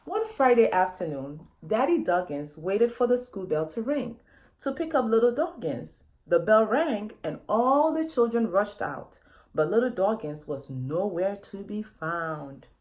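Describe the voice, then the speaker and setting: A woman's voice: mid-range voice, expressive, a little slow, darkish tone. A woman author reading a children's story aloud, expressively, often addressing young listeners directly with questions.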